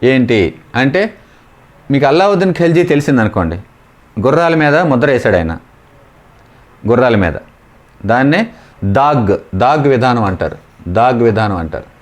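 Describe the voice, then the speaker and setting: A man with a Telugu accent and a mid-pitched voice teaches in an informal coaching style. He explains exam questions step by step and repeats the key terms as he goes. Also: expressive, normal speed, pauses often.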